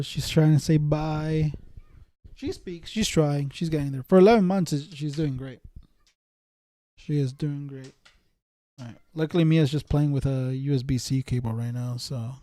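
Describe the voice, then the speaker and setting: A man's voice: on the high side, steady tone, measured pace, long pauses, warm tone, dry recording. A man around thirty talking casually to viewers as a streamer, in a rambling, slangy way that often circles back and repeats. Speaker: live streamer chatting with viewers. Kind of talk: casual chat.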